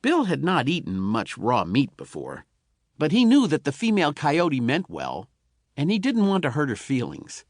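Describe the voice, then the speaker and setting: A man narrating a children's tall tale as an audiobook, in an expressive, folksy storytelling manner. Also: on the high side, normal speed, no echo, studio quality.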